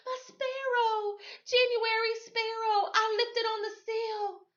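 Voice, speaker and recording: Woman playing a small frightened girl, very high pitch, pleading, tearful, storybook character. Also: flat delivery, slow pace, fairly bright tone, roomy sound, lo-fi sound, exaggerated voice. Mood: panicked. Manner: breathless, tearful outbursts. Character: frightened little enslaved girl, pleading and sobbing.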